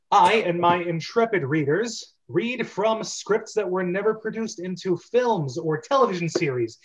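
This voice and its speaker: A man hosting a show, mixing theatrical scripted introductions with casual, self-deprecating chat. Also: on the high side. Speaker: host. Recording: dull, lo-fi microphone sound.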